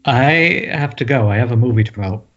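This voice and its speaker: A man's voice: mid-range voice, lively intonation, brisk, close to an everyday voice. A man reading the part of a defensive, addicted husband and writer in an amateur screenplay table read, profane and defiant. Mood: resigned. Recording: dull, noisy internet call with clicks.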